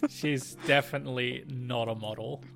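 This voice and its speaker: A man's voice: medium pitch, creaky, pushed and bright. A young man co-hosting a casual podcast, joking and laughing often with disbelief. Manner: laughs often, jokey and incredulous. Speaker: podcast co-host. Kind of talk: casual banter over a game.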